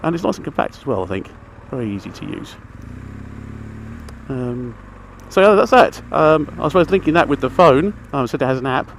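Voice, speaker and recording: A man's voice: medium pitch, lively intonation, fairly quick. A man giving a casual, rambling, matter-of-fact gear chat as a motorcycle vlogger. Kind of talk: casual chatty gear review while riding. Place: outdoors inside a helmet on a motorbike. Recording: engine, traffic and outdoor noise, helmet mic.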